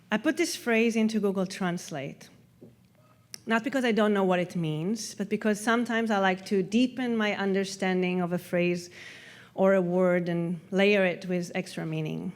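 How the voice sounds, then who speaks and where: Reflective Hebrew-native woman speaker. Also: mid-range voice. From Israel. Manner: reflective, measured reading with soft trailing endings. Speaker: festival speaker. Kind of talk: prepared stage talk. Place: festival stage.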